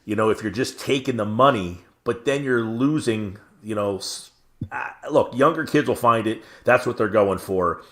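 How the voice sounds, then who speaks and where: A man's voice: on the low side, moderate pace, a bit bright. A man in his late forties co-hosting a sports podcast, giving rambling opinions with plenty of fillers. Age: 40s. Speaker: podcast co-host. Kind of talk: sports media podcast chat.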